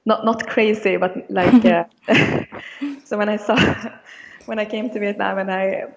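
Young woman raised in Sweden, interview guest; gravelly, hesitant delivery, dull recording with long reverb. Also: medium pitch, fairly even intonation, slow, a little hiss or hum. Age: young adult. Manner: hesitant, many fillers and restarts. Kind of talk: casual interview answers.

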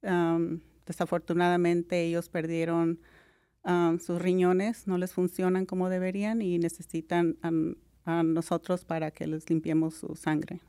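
Mexican-accented woman, middle-aged, smooth flat low delivery, relaxed guest with fillers. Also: a little slow, no room sound. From Mexico (Jalisco). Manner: relaxed, many "am" fillers, mixes English words. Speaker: guest, registered nurse. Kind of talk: casual interview.

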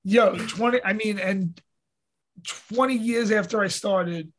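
High-voiced New man chatting. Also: takes it slowly, fairly bright tone, a little hiss or hum. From New York. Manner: rambling, swears freely, acts out his own quotes. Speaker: podcast host, mixed martial arts fighter. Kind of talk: casual profane chat.

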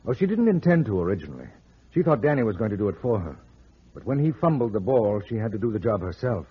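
An actor playing a shrewd, sardonic private detective in an old-time radio drama. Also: male voice, on the low side, very animated, fast, slightly rough, exaggerated voice. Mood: smug, teasing. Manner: sardonic, explaining, teasing. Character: wisecracking, shrewd male private detective, smooth with women. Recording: muffled vintage radio drama recording.

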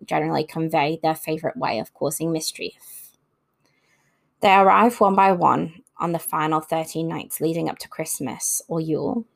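A woman's voice: low voice, vocal fry. A woman hosting an informal talk on folklore, reading from notes and adding casual asides. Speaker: host. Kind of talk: informal scripted narration about folklore.

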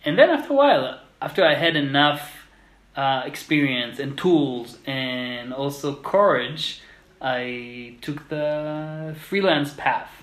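A man in his thirties from Israel, speaking as a podcast guest in short, halting, thoughtful phrases. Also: a little high, animated, a bit bright. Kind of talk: conversational interview. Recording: roomy echo.

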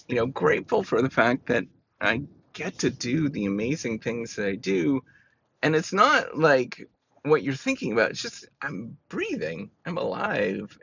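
A man talking through his feelings in a rambling personal monologue, full of fillers, with a voice he calls squeaky. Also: creaky, fairly bright tone, muffled recording, a little hiss or hum. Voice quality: says he has a squeaky voice. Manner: rambling, self-reassuring, many "you know" fillers.

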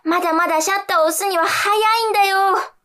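An actress playing a bossy, excitable young sweetheart with an eager, emphatic manner in a radio comedy drama. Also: female voice, extremely high pitch, fast. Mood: eager, pushy. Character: bossy, excitable young sweetheart planning their whole future.

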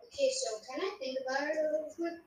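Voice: sits high, sing-song, racing. A young podcast co-host, sounding like a child or young teen, chatting casually and hesitantly in an echoey room. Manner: hesitant, unsure. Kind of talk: casual podcast quiz chat.

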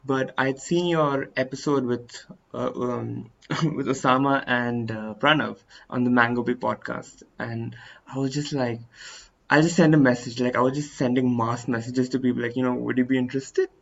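Man, nineteen, podcast host, eager, rambling, mid pitch, dull sound. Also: fairly even intonation, moderate pace, vocal fry, a little hiss or hum. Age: young adult, 19. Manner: eager, rambling, with restarts and laughs. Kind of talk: casual interview.

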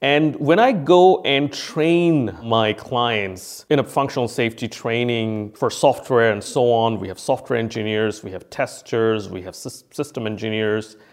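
A man speaks as a podcast host and seasoned trainer, at a middling pitch. He explains things conversationally but with emphasis, coming back to key phrases to drive them in. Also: a little slow, hardly any pauses. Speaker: podcast host and functional safety consultant and trainer.

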